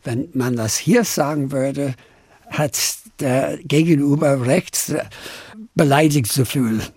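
An older man from Dublin with an Irish accent, a singer-songwriter and native English speaker, answering radio interview questions in German with hesitant pauses and small slips. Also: medium pitch, a little mellow, husky, dry recording. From Ireland, Dublin.

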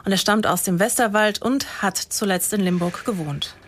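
Woman, radio reporter, factual explaining, mid pitch. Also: moderate pace, breathy edge. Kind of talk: reporter answering in a studio interview.

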